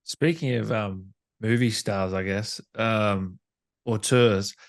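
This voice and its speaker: A man's voice: lowish voice, steady tone, slow pace, no echo. A man interviewing a guest in a casual, chatty podcast style. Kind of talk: casual chat.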